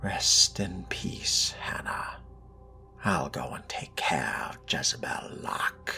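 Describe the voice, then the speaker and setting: A man voicing a brooding, genteel vampire in video game roleplay, breathy and near-whispered, with a measured, ominously polite, old-fashioned manner. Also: rumbling low, lively intonation, exaggerated voice. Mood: somber. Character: brooding, genteel, world-weary male vampire fledgling.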